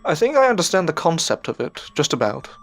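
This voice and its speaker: A man playing an earnest, anxious upper-class gentleman learning magic in an audio drama, speaking politely and formally with a hesitant stammer. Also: a little high, sing-song, fast. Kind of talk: audio drama acting with narrated reflections. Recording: voice over prominent background music.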